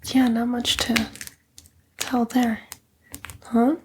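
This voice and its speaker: A woman voicing a sly female demon in a whispered, breathy ASMR roleplay, coaxing and taunting the listener in a casual way. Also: fairly high pitch, level tone, extremely slow, noisy background. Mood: coaxing, teasing.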